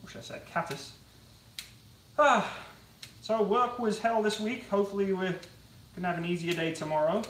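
High-voiced man rambling while cooking. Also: steady tone, moderate pace, pauses often, fairly bright tone, slight background noise. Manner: chatty, rambling, self-deprecating. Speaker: live streamer cooking and chatting. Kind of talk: casual live stream chat. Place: home kitchen. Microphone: camera on tripod across the kitchen. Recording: roomy, distant kitchen sound.

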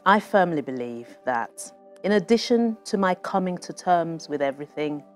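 A middle-aged woman, an author reading aloud from her own memoir in a measured, expressive way. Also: fairly low pitch, faint music behind. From Nigeria. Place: small TV studio with bookshelves.

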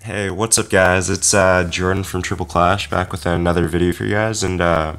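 A man's voice: low voice, steady tone, normal speed, fairly bright tone, clear, resonant tone. A young man hosting a casual game commentary, rambling and self-correcting with lots of fillers while fighting a cold. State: has a cold. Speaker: game channel host.

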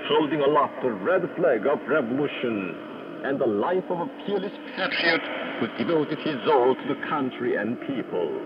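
Solemn male announcer on noisy shortwave. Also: medium pitch. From North Korea. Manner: solemn, declamatory. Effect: shortwave radio. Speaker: radio news announcer. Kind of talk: formal scripted state radio announcement. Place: broadcast received over shortwave. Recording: noisy, muffled shortwave radio broadcast. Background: loud static and radio noise.

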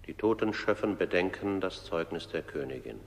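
A man's voice: low voice, expressive, normal speed. A man narrating a radio play in a solemn, scripted style. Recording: long hall reverb.

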